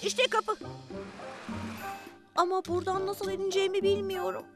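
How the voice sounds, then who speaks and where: A woman voicing a small, excitable young boy bus in a children's cartoon dub. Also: very high-pitched, moderate pace, music in the background. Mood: anxious, determined.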